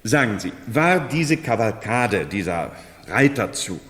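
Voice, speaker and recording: Inquisitive doctor, very lively intonation. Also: male voice, lowish voice, unhurried. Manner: probing, hesitant, polite. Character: inquisitive, methodical male doctor questioning aristocrats. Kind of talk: 1970s German radio play acting. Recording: reverberant room, vintage radio drama.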